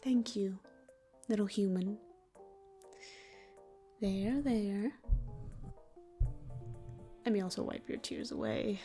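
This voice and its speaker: Soft, breathy female demon acted by a woman, music behind. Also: medium pitch, slow, pauses often, lightly acted. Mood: gentle, soothing. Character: teasing then tender female sleep paralysis demon. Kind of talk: intimate roleplay ASMR voice acting.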